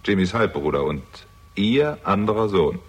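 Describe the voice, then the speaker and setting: An actor playing a shrewd, sardonic young man investigating in a German radio crime drama, with a husky voice and dry, ironic delivery. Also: low voice, very animated, moderate pace, lo-fi sound, exaggerated voice. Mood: accusing, controlled. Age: young adult.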